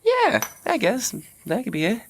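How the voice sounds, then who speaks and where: A man's voice: very high-pitched, huge pitch swings, a little slow, fairly bright tone, a little hiss or hum, heavily acted. A man playing a timid, dim-witted American bandit brother in an audio drama, hesitant and stammering in a folksy way. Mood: uneasy.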